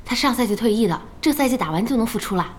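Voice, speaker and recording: A woman's voice: a little high, animated, very fast, a little hiss or hum, close to an everyday voice. A woman in an audio drama playing an outspoken, impatient young gamer who is chatty and nagging. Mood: curious. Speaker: voice actor in a radio drama. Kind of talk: animated audio drama dialogue. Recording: faint music behind the voice.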